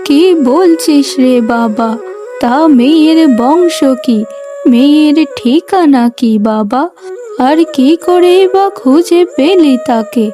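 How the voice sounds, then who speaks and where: A woman's voice: sits high, lively intonation, slow, music in the background. A woman voicing a proud, domineering middle-aged mother with an emphatic manner in a cartoon family drama. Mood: questioning.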